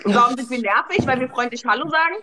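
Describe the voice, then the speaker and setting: A woman's voice: on the high side, expressive, fast, pushed and bright. A young woman, indignant and snappy.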